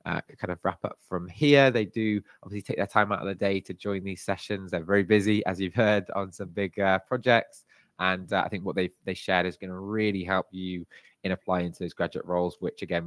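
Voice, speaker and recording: Deep-voiced man hosting a webinar. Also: fairly bright tone, no echo. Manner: friendly, hedging, repeats words. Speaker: webinar host. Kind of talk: hosting a live online session.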